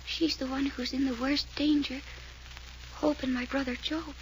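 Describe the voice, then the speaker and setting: An actress playing a frightened young woman who speaks in hushed, halting whispers in an old-time radio serial. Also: high-pitched, level tone, a little hiss or hum, natural voice, poor audio. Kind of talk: 1940s radio acting.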